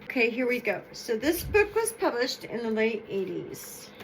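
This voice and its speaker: High-voiced woman storyteller, noisy recording. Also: sing-song, normal speed. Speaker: storyteller reading folktales aloud. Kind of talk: expressive read-aloud storytelling with informal explanatory asides.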